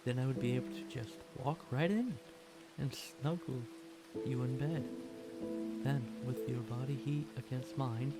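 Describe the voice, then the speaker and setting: A man voicing a clingy, devoted stray catboy in an ASMR roleplay, pleading and submissive, in halting phrases. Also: medium pitch, lightly acted. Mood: wistful, yearning. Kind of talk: ASMR roleplay monologue addressed to the listener. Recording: loud music and rain behind. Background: music and rain.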